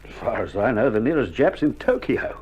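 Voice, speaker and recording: A man's voice: mid-range voice, sing-song, a little fast, darkish tone, husky. An actor playing a wry, reassuring Australian army male nurse in a wartime radio drama, with laconic, joking banter, heard on an old vinyl record. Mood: firm, chiding. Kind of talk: 1960s radio drama acting. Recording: old vinyl recording, dull band, faint noise.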